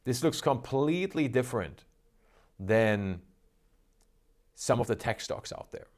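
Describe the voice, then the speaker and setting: A man's voice: mid-range voice, animated, measured pace, a little mellow. A man hosting a stock trading show, talking in an upbeat, emphatic, salesy way. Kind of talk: energetic market commentary.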